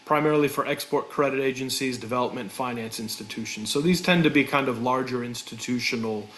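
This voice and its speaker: A man's voice: mid-range voice, brisk, fairly bright tone. Businesslike man, faint hum behind. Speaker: due diligence business executive. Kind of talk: webinar self-introduction. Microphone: video call.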